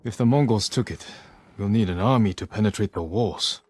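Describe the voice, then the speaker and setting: An actor playing a resolute, stoic samurai lord, calm and grave, in a dramatic video game. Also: male voice, fairly low pitch, a little fast, slight background noise, close to an everyday voice. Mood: measured.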